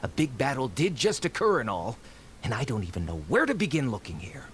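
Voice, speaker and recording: An actor playing an eager, greedy treasure seeker who feigns scholarly interest in a video game, expressive and theatrical. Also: male voice, medium pitch, brisk, slight background noise. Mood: doubtful.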